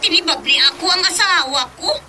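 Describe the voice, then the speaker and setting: An actress playing a coy, giggly, dramatic second wife in a comic radio drama, full of exclamations. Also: female voice, very high-pitched, fairly quick, music in the background.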